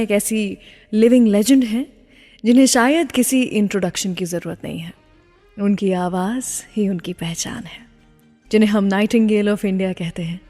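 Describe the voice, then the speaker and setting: A woman presenting a scripted radio show as its host, speaking in a measured, presenterly way. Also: mid-range voice, warm tone. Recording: long reverb, music behind. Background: background music.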